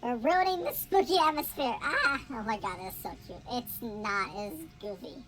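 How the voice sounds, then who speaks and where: A woman's voice: a little high, moderate pace, music in the background. A woman streamer reading a visual novel aloud, playful and joking with chat, cursing at times. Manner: playful, swears, jokes with chat.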